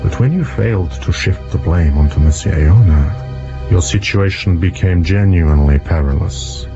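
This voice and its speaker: An actor playing a shrewd, self-assured detective in an audio drama, speaking in a deliberate, mock-courteous, accusing manner. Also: male voice, low-pitched, highs cut off, music in the background. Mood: grave, accusing. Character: shrewd male detective exposing a murderess, theatrical, self-assured.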